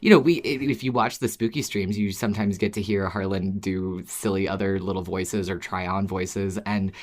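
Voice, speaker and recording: Low voice, casual podcast chat, enthusiastic fan talk, conversational. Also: fairly even intonation, moderate pace. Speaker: podcast co-host or guest.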